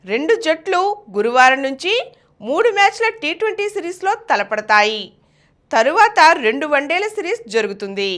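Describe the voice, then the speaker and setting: High-pitched woman reading news. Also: big pitch swings, normal speed, a bit bright. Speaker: news reader. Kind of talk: scripted news bulletin narration.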